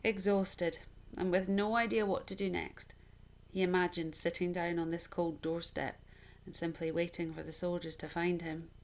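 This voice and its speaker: A woman with a fairly low voice narrates a children's storybook. She reads expressively, in a measured and dramatic storytelling manner. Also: level tone.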